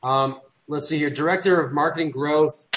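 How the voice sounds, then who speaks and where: A man's voice: on the high side, normal speed, fairly bright tone, a little hiss or hum. A man running a weekly business meeting, rambling with frequent restarts and trailing asides. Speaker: meeting leader.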